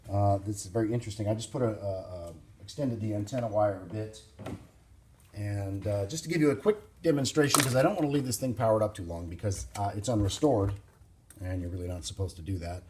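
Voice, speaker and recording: Low voiced guy showing off his hobby project, plain spoken n halting, bit of background noise and clicks. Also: a little mellow. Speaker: vintage radio hobbyist presenting a demonstration. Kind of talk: informal hands-on demonstration, unscripted.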